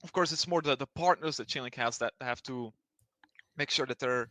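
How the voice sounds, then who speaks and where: A man's voice: mid-range voice, normal speed, sharp tone, no room sound. A man from Belgium answering interview questions in an explanatory way, hesitant and often restarting his sentences. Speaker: interview guest speaking for a blockchain project.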